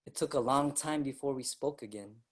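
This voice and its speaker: A male actor performs an ailing young writer in a staged reading. His voice sits mid-range. He is earnest and deeply attached to his brothers. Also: normal speed, close to an everyday voice. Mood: reflective. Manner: reflective storytelling, pleading when alarmed. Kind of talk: staged reading, dramatic narration. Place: online virtual staged reading.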